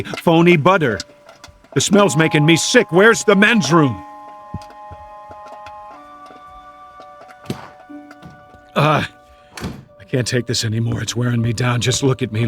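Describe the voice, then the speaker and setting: An actor playing a lonely, distressed Air Force man with no memory, rambling to himself haltingly and wryly in a radio drama. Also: medium pitch, close to an everyday voice. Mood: weary, rueful. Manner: rambling to himself, halting, wry joking turning agitated.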